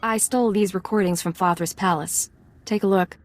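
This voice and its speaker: An actress playing a cool, poised young female imperial agent in a video game, crisp and controlled. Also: medium pitch, steady tone, moderate pace, natural voice. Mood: persuasive.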